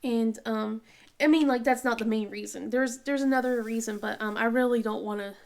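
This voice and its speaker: A woman chatting casually as she works on a craft project, halting with many ums and restarts, sniffly from a cold. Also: fairly high pitch, steady tone, vocal fry. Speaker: diamond painting vlogger. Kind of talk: casual chat while crafting.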